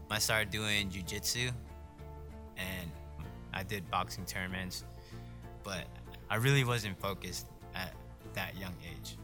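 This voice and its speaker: A man in his twenties, born in Peru, telling his personal story casually. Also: sits low. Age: 20s. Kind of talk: personal testimony. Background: music.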